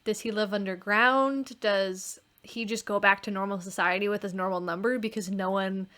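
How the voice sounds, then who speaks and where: Woman podcast co-host, medium pitch. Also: steady tone, normal speed, a bit bright. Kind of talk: casual chat about a radio play.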